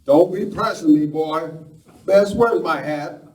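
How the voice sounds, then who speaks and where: A man's voice: on the high side, slow, darkish tone. A man playing a folksy, easily flustered train engineer and family man in an amateur western radio play. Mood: folksy, gruff. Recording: roomy distant live recording with noise.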